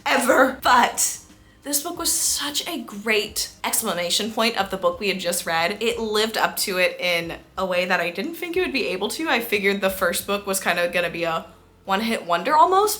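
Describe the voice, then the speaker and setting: Female book vlogger, early twenties. Bright, forward voice, mid pitch, casual and gushing delivery. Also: sing-song, moderate pace, faint music behind. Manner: gushing, emphatic, chatty. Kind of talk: casual chat to camera about books.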